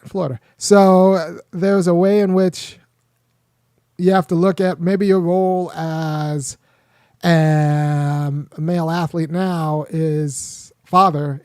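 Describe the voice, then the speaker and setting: A man's voice: a little high, darkish tone, no room sound. Talkative man in a dry, close room. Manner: rambling, frequent restarts and fillers. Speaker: host. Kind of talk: casual commentary monologue.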